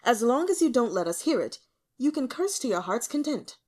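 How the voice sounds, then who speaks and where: Woman acting youthful emperor, audiobook fantasy, imperious, scornful, flippant, loud swooping delivery. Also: a little high, very animated, moderate pace. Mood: mocking, annoyed. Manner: imperious, bored, scornful, informal. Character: irritable, flippant, weary young male emperor.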